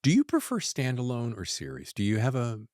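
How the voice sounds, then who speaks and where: A man's voice: medium pitch, huge pitch swings, brisk, a little mellow, no room sound, clean studio sound. A man in his sixties hosting a casual podcast interview, asking questions with enthusiasm. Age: 60s. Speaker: podcast host.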